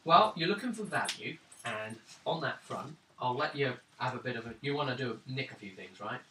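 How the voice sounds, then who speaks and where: Bright-voiced male game master, distant and roomy. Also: mid-range voice, lively intonation. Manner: halting, hesitant phrasing. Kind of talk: improvised tabletop game narration and refereeing. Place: indoors around a gaming table. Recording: roomy, distant tabletop recording with background noise.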